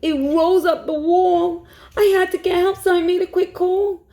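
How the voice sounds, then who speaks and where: A woman storytime teacher reading a rhyming picture book aloud to young children in an expressive way. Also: extremely high pitch, moderate pace. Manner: expressive, hushed suspense, asks listeners questions.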